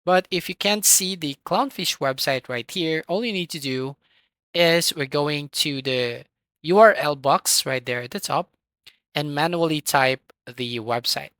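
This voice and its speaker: A tutorial host giving step-by-step software instructions in an instructional manner, with a voice recorded close to the microphone. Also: medium pitch, measured pace, no echo.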